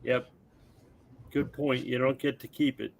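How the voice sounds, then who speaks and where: Slow-paced man explaining game rules. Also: medium pitch, fairly even intonation, gravelly. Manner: explanatory, helpful. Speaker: guest game designer teaching the game. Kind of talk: casual tabletop game play and rules explanation.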